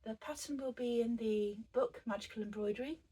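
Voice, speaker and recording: A woman who is croaky after a virus, teaching an embroidery craft tutorial in a chatty, meandering way with many fillers and restarts. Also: fairly high pitch, fairly quick, warm tone, rough recording. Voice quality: husky.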